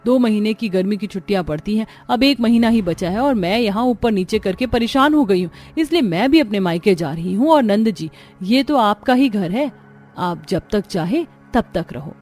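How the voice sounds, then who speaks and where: A woman's voice: medium pitch, lively intonation, normal speed, darkish tone, faint music behind, lightly acted. A woman voicing a fed-up, outspoken housewife in a cartoon family drama, complaining emphatically. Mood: indignant. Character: fed-up, outspoken young housewife and sister-in-law.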